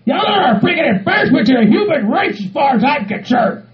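A man's voice: very high voice, sing-song, a little fast, a crowd in the background. A man playing an agitated, exasperated hillbilly with a Southern US accent, ranting repetitively in a comedy skit. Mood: exasperated, angry. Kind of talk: improvised comedy skit in character voices. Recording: muffled lo-fi recording with faint music.

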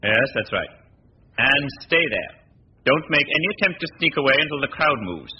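An actor playing a brisk, commanding criminal doctor in a 1940s radio drama, giving precise, authoritative orders. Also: male voice, sing-song, close to an everyday voice. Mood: instructing. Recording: dull, noisy 1940s radio recording with rumble.

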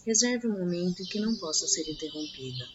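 A Brazilian woman narrating a scripted guided meditation as the guide, with slow, soothing, instructive phrasing. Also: lowish voice, expressive, heavy background noise. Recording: dull lo-fi audio under loud music. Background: loud music with birdsong.

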